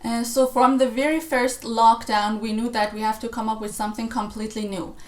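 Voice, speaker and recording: A young woman originally from Iran, a choir director explaining her work in an earnest, measured way over a video call. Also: fairly high pitch, level tone, darkish tone, echoey room. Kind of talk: conversational interview.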